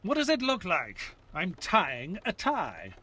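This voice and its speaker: Guy as reserved ex-military sidekick telling the story in a radio play, slightly high male voice, lots of melody. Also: huge pitch swings, slow pace, sharp tone, husky, exaggerated voice. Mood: defensive. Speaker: actor and narrator in an audio drama. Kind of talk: narrated audio play.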